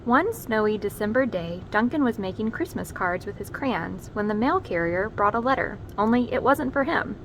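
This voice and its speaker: A woman presenting a read-aloud, narrating a children's storybook expressively with measured emphasis on names and key phrases. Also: medium pitch, expressive, a little hiss or hum.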